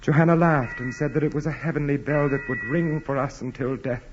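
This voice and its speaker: An actor playing a wealthy, commanding aristocrat, formal, grave and insistent, in 1940s radio drama style. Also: male voice, on the high side, fairly quick, natural voice. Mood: brooding. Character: wealthy aristocratic landowner, commanding, brooding, intense suitor. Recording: muffled, noisy old radio broadcast.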